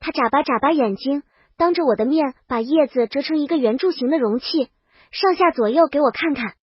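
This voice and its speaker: A woman's voice: high voice, a little fast, no echo, muffled recording. A woman reading scripted first-person audiobook narration as the storyteller.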